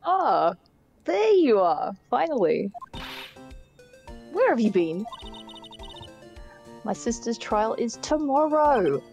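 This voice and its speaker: A woman's voice: fairly high pitch, big pitch swings, slow pace, vocal fry, long pauses, a little mellow, highs cut off, heavily acted. A woman voicing an impatient, brusque female client in an amateur visual novel dub. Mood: impatient, demanding. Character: impatient, brusque woman seeking a famous lawyer. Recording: game music behind the voice.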